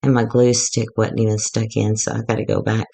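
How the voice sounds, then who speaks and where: A woman going hoarse as she gives a rambling, chatty, self-deprecating walkthrough of a craft project. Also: extremely low pitch, flat delivery, a little fast, warm tone. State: getting hoarse. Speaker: craft tutorial maker.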